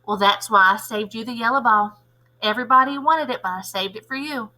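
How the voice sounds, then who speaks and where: Storybook schoolyard guy, voiced by a woman: bright, pushy, teasing, lively salesman patter. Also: fairly high pitch, a little fast, low-quality recording. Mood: placating. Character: teasing, upbeat male schoolyard ball keeper, pushy salesman manner.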